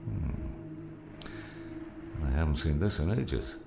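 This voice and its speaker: A man voicing a very deep, gravelly old mentor. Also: expressive, slow, over music, full character voice. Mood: wry, resigned. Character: dead old mentor, gruff, wry, fatherly man.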